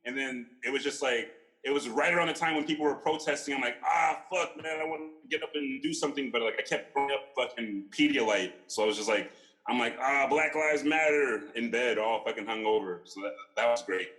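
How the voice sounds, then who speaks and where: A man with a bright, punchy voice and average pitch talks casually and with animation. He uses slang and profanity freely, telling stories at his own expense in a joking, conversational style. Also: fairly quick, rough recording. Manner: animated, slangy, self-deprecating.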